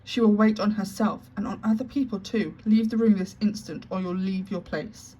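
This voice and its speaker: A woman's voice: mid-range voice, normal speed, a little mellow, husky, rough recording. A woman doing a cold, stern headmistress. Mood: harsh. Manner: harsh, clipped commands.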